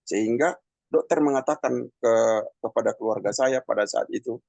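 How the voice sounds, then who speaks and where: A man in his fifties giving a personal testimony in a halting, conversational way, with many pauses and fillers. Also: medium pitch, creaky, darkish tone, no echo. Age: 50s. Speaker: testimony speaker.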